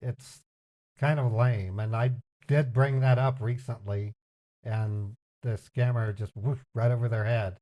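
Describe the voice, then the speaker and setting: A man's voice: mid-range voice, fairly even intonation, unhurried, warm tone. A man streaming casual explanatory commentary in a dismissive, amused manner. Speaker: scambaiting streamer.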